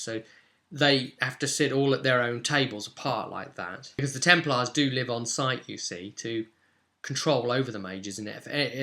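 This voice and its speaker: A man with a middle-pitched, sharply bright voice recaps a roleplaying story as its game master. He talks informally and unscripted, stepping aside often to explain how things work.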